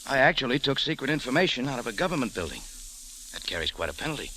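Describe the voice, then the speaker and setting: Weary hard-boiled radio detective. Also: male voice, medium pitch, very animated, rapid-fire, sharp tone, a little hiss or hum, low-quality recording. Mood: weary, wry. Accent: American. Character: weary, hard-boiled American private investigator. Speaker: lead radio actor. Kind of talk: 1940s-50s radio detective acting. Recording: old radio recording with music.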